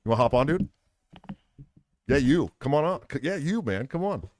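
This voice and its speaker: A man's voice: medium pitch, very animated, moderate pace, dry recording. An American man hosting a casual live interview, speaking in an earnest, informal way. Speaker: podcast host. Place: live at a political convention.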